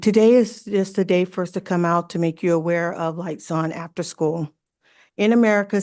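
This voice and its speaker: A woman, a club director, giving a brisk and eager presentation at a city commission meeting. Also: lowish voice, darkish tone, no echo, studio quality.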